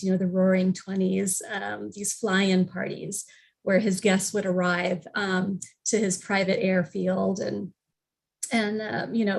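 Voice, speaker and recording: A woman's voice: mid-range voice, creaky. A woman on a panel informally explaining history as a library representative, with very frequent hesitations as she goes.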